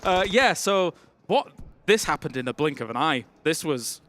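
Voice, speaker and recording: Bright-voiced excitable male caster. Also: a little high, a little hiss or hum. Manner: excitable, quick reactions, playful banter. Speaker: esports caster. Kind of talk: live play-by-play commentary.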